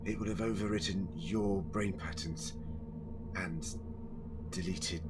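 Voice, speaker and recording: A man playing an anguished, earnest, protective time-traveller hero in an audio drama, halting when distressed. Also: deep voice, steady tone, over music. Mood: grave explaining.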